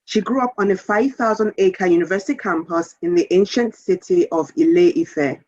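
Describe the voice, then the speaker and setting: A woman author reading a children's story aloud in a measured, expressive storytelling manner. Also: on the low side, dry recording, highs cut off.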